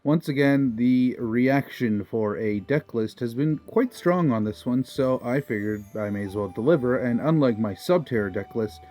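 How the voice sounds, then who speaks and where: A man's voice: medium pitch, normal speed, few pauses, warm tone, faint music behind. A young man, a little nasal from a cold, casually and methodically walking through a card game deck list. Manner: casual, methodical, lots of fillers like kinda. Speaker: deck profile presenter.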